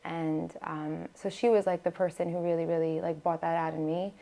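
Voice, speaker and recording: Chatty American woman, lowish voice. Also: level tone, moderate pace, vocal fry, over a noisy crowd. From United States. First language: Hebrew. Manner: chatty, many fillers and restarts. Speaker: podcast guest, singer. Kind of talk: casual interview talk.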